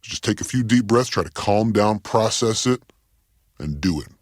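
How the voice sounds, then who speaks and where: A man playing a goofy, self-important rock radio DJ in a video game, rambling in a deadpan way with ums and trailing-off thoughts. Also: on the low side, moderate pace, no room sound, studio quality, natural voice. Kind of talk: comedic radio DJ patter.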